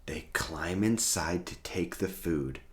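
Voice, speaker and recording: Slow, animated man reading a storybook. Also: low voice. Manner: deliberate, dramatic storytelling with drawn-out key words. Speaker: host reading children's picture books aloud. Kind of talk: expressive children's storybook read-aloud.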